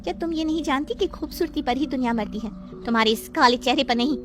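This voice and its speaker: A woman voicing a vain, spoiled young wife in a moral story, haughty, sharp-tongued and theatrical. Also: sits high, fast, background noise, close to an everyday voice. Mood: haughty, offended.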